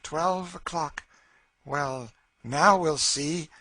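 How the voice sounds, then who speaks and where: A cold, taunting woman with a raised, forceful, slightly husky voice, as a male audiobook reader puts her on. She speaks slowly and eerily, every line a sneer aimed at the master she torments. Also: fairly high pitch, no echo, voice pushed up. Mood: sneering, eerie. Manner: sneering, eerie, slow and taunting. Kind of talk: audiobook character voice.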